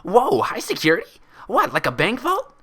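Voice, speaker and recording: A man's voice: very high-pitched, wildly swooping pitch, moderate pace, sharp tone, breathy edge, voice pushed up, full character voice. A man voicing a hyper, naive female cartoon pony with an eager, curious manner. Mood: excited.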